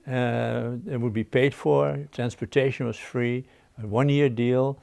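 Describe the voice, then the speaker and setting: A gravelly older man from the Netherlands, born in the Dutch East Indies, thinking back on his past as an interview guest. He talks in a halting way, pausing often with fillers. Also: medium pitch, steady tone, darkish tone. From born in the Dutch East Indies, raised in the Netherlands. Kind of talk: casual interview.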